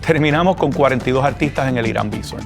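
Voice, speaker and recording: A man's voice: mid-range voice, fast, creaky. A man with a Puerto Rican accent telling his story in a documentary interview. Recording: music playing behind the voice.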